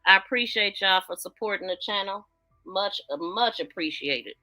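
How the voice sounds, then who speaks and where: A woman's voice: mid-range voice, moderate pace, pushed and bright, soft background music, rough recording. An American woman hosting a livestream with casual, chatty commentary in informal speech. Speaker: livestream host. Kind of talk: casual livestream commentary, reacting to played audio.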